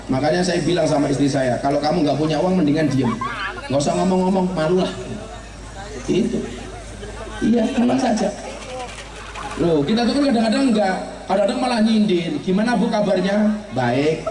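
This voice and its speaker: A middle-aged man, giving a humorous sermon and teasing his audience. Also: high voice. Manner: joking, teasing the audience, mixing regional dialect. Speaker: preacher. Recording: roomy, from far off, crowd and noise behind.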